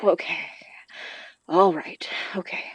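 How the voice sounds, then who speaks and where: A woman's voice: fairly low pitch, steady tone, slow, slightly rough. A woman playing a determined, informal young spirit summoner in a horror audio drama, giving things a self-possessed, casual tone. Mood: focused. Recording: dry, slightly dull studio sound.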